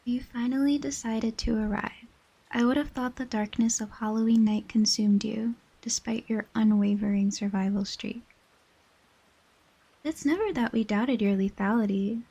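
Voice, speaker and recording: A woman's voice: medium pitch, fairly even intonation, moderate pace, creaky, dark tone, husky, rough recording. A woman voicing a stern, haughty inhuman guardian in a scripted dramatic monologue, formal and imperious. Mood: cool, dry.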